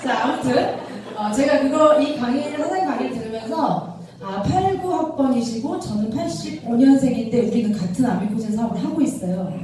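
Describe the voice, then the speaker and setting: Echoey, higher-voiced woman lecturing. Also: dark tone. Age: 30s. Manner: conversational, often checks with the audience using rhetorical questions. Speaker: product trainer and speaker for a direct-sales business. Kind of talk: motivational product lecture to a live audience. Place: echoey indoor lecture room with an audience. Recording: very echoey room, distant mic, faint noise.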